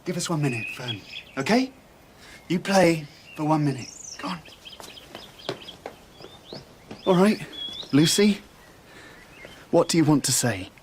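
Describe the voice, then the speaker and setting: A man's voice: on the high side, animated, moderate pace, a little hiss or hum, natural voice. An actor playing a doting father and wounded, estranged husband, by turns playful and terse, in a naturalistic radio drama. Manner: swings between playful and terse.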